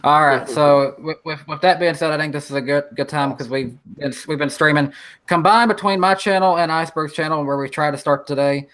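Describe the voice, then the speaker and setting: A man's voice: on the high side, a little fast. Twenty-something man hosting a casual podcast. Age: 20s. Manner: relaxed, repeats words while starting sentences. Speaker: podcast host.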